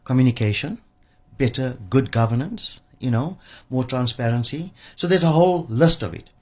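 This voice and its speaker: A man speaks as an expert guest in a radio interview. His voice is husky and swings widely in pitch as he makes emphatic, repeated points. The sound is somewhat dull and lo-fi. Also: animated, moderate pace. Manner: emphatic, repeats phrases for effect.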